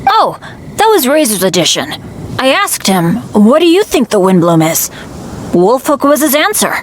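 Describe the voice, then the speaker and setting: Woman doing eager young boy adventurer for a game, boyish excitable voice, animated, faint noise behind. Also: on the high side, moderate pace. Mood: eager, sheepish. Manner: excitable, earnest. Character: eager, earnest teenage boy adventurer, cheerful despite bad luck. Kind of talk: video game character acting.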